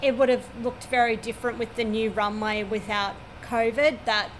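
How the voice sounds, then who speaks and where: Australian air traffic controller, fairly high voice. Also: female voice, fairly even intonation, moderate pace, fairly bright tone, poor audio. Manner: matter-of-fact, detailed, with frequent "um"s. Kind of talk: interview answers. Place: at a regional airport, overlooking the runway.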